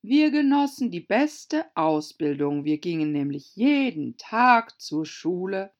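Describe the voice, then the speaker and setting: A woman voicing a gloomy, easily annoyed old female turtle from a storybook, slow and sighing, with a hollow voice. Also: very animated, lo-fi sound. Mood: wistful. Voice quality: deep, hollow voice.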